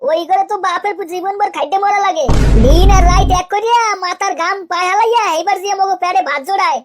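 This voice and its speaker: A man voicing a bossy young daughter-in-law in a comic cartoon, nagging and argumentative in a pitched-up voice. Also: fast. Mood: scolding.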